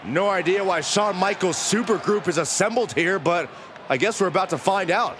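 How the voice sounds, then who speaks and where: A man's voice: high-pitched, normal speed, background noise, lightly acted. A man playing an apprehensive wrestling commentator in a video game broadcast. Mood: wary. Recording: loud music and crowd behind.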